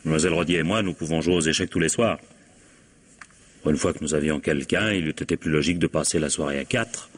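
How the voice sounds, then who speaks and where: Exasperated husband, deep husky voice, curt dry sarcasm, vintage radio drama. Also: male voice, fast talker. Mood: weary, sullen. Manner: curt, reproachful, dryly sarcastic. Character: exasperated, cutting husband weary of his jealous wife.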